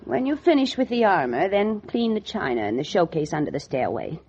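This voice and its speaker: A woman's voice: on the low side, sing-song, brisk, a little mellow, a little hiss or hum. An actress playing a stern, domineering older lady in a 1940s radio drama, scolding in an imperious, theatrical way. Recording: dull vintage 1940s radio recording.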